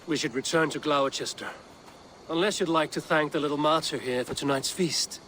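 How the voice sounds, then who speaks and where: An actor playing an honorable, plain-spoken Viking warrior in a video game, earnest and gently teasing. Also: male voice, on the high side, normal speed, a little hiss or hum, lightly acted. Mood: steady. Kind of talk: dramatic medieval video game dialogue.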